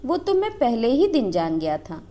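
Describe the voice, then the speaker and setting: Woman narrator as a village husband, earnest, wounded, devoted, animated pitch, storybook drama. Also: normal speed. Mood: questioning. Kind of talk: dramatised Hindi storybook reading.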